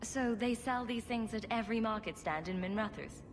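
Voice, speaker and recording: A woman's voice: on the high side, normal speed, slight background noise, natural voice. A woman voicing a wry, sarcastic, inquisitive female rogue adventurer in a video game. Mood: curious, wry.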